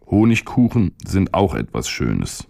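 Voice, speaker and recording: A man voicing a talking stomach in a storybook reading, calm, patient and unhurried. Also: low-pitched, creaky, dry recording, clean studio sound, full character voice.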